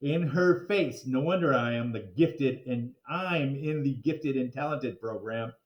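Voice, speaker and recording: A man voicing a cheeky, boastful second-grade boy from a children's storybook, excitable and teasing. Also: medium pitch, measured pace, highs cut off, natural voice. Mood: gloating. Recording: roomy, echoing sound.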